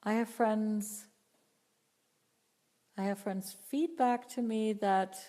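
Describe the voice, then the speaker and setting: Woman, voice teacher, live Q&A, earnest, unhurried, warm tone, long reverb. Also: mid-range voice, steady tone. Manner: unhurried, earnest, repeats and restarts phrases. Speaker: voice teacher answering viewer questions. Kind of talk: live Q&A talk, reading chat questions.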